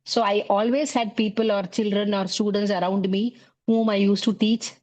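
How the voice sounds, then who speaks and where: A middle-aged woman from South India with an Indian English accent, talking as an interview guest about her teaching work in a relaxed way, leaning on fillers like "you know, like". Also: medium pitch, moderate pace. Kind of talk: conversational interview.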